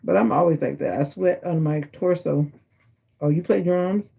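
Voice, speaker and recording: A low, gravelly woman's voice, husky and raspy from a sinus infection, talks casually and drowsily to her livestream viewers. She sounds worn out after an early workday and teases people in the chat by name. Her tone is dark and mellow, heard through a phone at home. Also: normal speed. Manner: chatty, teasing viewers by name. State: sleepy and exhausted. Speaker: livestream host. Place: at home indoors.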